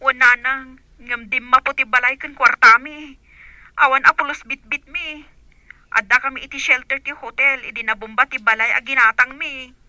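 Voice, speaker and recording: A woman's voice: high voice, steady tone, moderate pace, bright, forceful tone, no room sound, low-quality recording. A woman acting a weary grown daughter fleeing war, earnest and plaintive, in an Ilocano-accented radio drama. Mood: distressed, weary.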